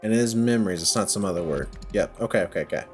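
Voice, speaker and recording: Guy with a lowish voice doing a lets play, thinking out loud in little bits, some faint music behind him. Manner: musing aloud in short, halting phrases. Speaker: let's play host. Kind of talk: casual gameplay commentary.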